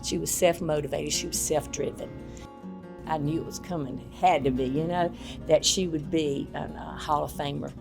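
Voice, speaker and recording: A woman's voice: deep voice, steady tone, normal speed, gravelly, over music. A woman who coached basketball, speaking in an interview with emphatic, repeated points. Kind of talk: interview recollection.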